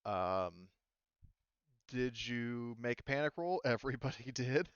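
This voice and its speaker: A man chatting casually as a game master, explaining things in a halting, conversational way. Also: mid-range voice, expressive, clear, resonant tone, dry recording, lo-fi sound. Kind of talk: casual chat, running a tabletop game session.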